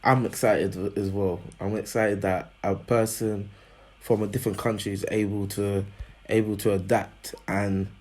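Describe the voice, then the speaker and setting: Male host, lowish voice, earnest and repetitive, casual interview delivery. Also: little pitch movement, measured pace, darkish tone. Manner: earnest, repeats phrases, lifts pitch when introducing.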